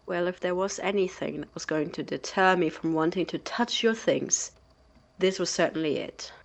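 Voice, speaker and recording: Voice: medium pitch, normal speed, creaky, close to an everyday voice. A performer playing an exasperated, blunt male roommate in an audio drama, incredulous and sardonic in manner. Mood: weary, sardonic. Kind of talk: naturalistic audio drama acting.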